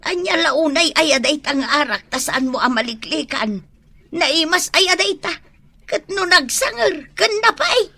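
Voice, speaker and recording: A woman's voice: high voice, lively intonation, moderate pace, creaky, sharp tone, no room sound, heavily acted. A woman acting as an older, concerned mother who admonishes her grown son in a radio drama, with an Ilocano accent. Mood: admonishing. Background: music.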